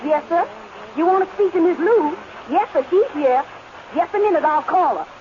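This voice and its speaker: High-voiced maid, noisy recording. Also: female voice, normal speed. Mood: deferential. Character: deferential yet cheeky maid, broad Southern caricature. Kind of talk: 1930s radio comedy acting. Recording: noisy, dull old radio recording.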